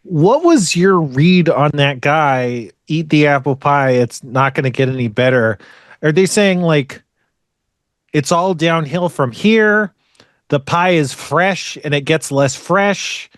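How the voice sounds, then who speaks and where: A man's voice: a little high, expressive, slow pace, no room sound, clean studio sound. A man co-hosting a podcast, tossing in wry, joking remarks in casual chat. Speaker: podcast co-host.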